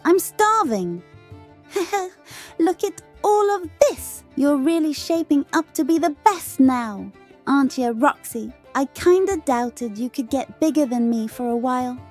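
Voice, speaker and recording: A woman voicing a bubbly, flirty female robot chicken in a comic dub, teasing and high, over music. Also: expressive, measured pace, a little mellow.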